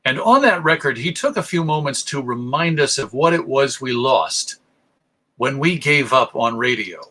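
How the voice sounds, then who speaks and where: An American man presenting an informal public lecture, speaking in deliberate, emphatic phrases with pauses. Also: mid-range voice, big pitch swings, sharp tone. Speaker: presenter, radio show host.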